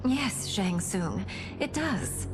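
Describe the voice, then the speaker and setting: Poised, steely warrior princess. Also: female voice, medium pitch, expressive, very slow. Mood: poised, guarded. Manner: formal, poised, defiant. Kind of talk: dramatic video game cutscene acting. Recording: voice under loud cinematic music.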